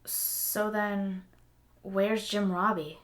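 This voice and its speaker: A woman's voice: medium pitch, little pitch movement, drawn-out words. A woman playing a wary, sarcastic young adventurer in an audio drama, blunt and given to complaint. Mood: complaining. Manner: dry, blunt.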